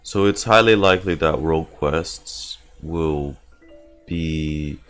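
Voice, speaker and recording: A man's voice: extremely low pitch, takes it slowly, soft background music. A man chatting casually on a game livestream, rambling as he thinks aloud and swearing now and then. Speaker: game streamer. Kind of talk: casual livestream chat while gaming.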